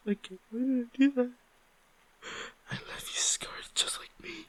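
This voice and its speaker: A man's voice: on the high side, dry recording. Remorseful ex-boyfriend, acted by a man, dry close sound. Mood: anguished, tearful apology. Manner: halting, emotional, sighing, repeats himself. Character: remorseful ex-boyfriend, guilt-ridden, pleading for forgiveness. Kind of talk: intimate audio roleplay acting.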